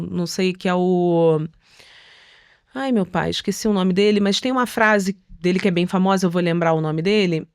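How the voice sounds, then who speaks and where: A middle-aged Brazilian woman telling stories conversationally as an interview guest, articulate and expressive, acting out quotes as she goes. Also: fairly low pitch, smooth, dry recording, clean studio sound. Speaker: podcast guest.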